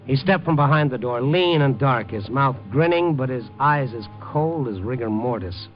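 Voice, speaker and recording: A man's voice: medium pitch, animated, normal speed, natural voice. A man playing a wry, world-weary hard-boiled newspaper reporter in a 1950s radio noir drama, with clipped tough-guy delivery. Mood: brooding narration. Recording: dull 1950 radio recording with music.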